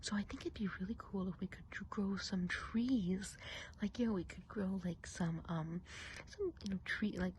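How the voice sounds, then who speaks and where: Softly whispering, gossipy witch played by a woman. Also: mid-range voice, moderate pace, a little hiss or hum, lightly acted. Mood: dreamy, musing. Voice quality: soft breathy whisper. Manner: gossipy, confiding, hesitant casual phrasing. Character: chatty, gossipy woodland witch planning a fairy party. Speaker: ASMR performer. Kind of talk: whispered ASMR roleplay.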